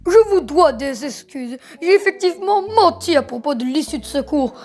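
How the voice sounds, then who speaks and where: A woman's voice: high-pitched, lively intonation, moderate pace, darkish tone. A woman voicing a theatrical, flustered cartoon ringmaster with a showy, grandiose way of announcing in a fan dub. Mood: apologetic, showy.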